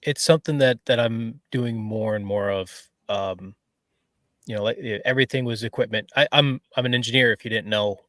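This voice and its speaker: A man's voice: mid-range voice, a bit bright, no room sound. Thoughtful man, dry close sound. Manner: thoughtful, explanatory, measured. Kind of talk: casual chat.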